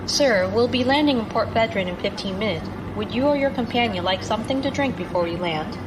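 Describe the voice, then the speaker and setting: A woman's voice: fairly high pitch, expressive, a little fast, background noise, natural voice. A woman playing a polite, attentive spaceliner stewardess in a sci-fi audio drama. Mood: polite, helpful.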